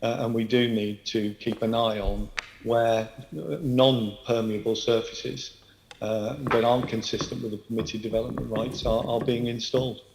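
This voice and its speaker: A man council officer answering a committee in measured, formal terms. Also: mid-range voice, flat delivery, vocal fry, roomy sound, background noise. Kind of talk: committee testimony.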